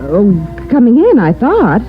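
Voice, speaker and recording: An actress playing a prim, helpful, puzzled American hotel desk clerk with a polite, proper manner in 1950s radio drama. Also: female voice, a little high, huge pitch swings, a little slow. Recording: muffled old radio recording with music.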